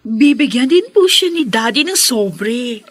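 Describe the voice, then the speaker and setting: A woman's voice: fairly high pitch, sing-song, unhurried, breathy edge, heavily acted. A young woman with a Filipino accent playing a spiteful, spoiled rich schoolgirl in a radio drama, haughty and emphatic. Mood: scheming, pushy. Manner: haughty, sharp-tongued, emphatic.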